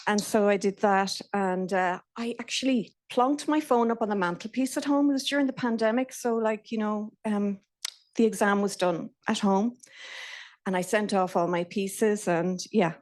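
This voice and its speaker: A woman's voice: medium pitch, moderate pace. A middle-aged Irish woman giving a nervous, chatty talk to a live audience, with fillers and restarts. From Ireland. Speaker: speaker. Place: event venue in a company office.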